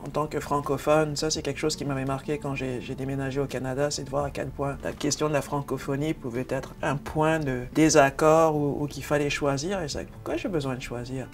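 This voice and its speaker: A man's voice: mid-range voice, steady tone, fairly quick, hardly any pauses, faint music behind. A man speaking thoughtfully in a documentary interview and slipping in English words.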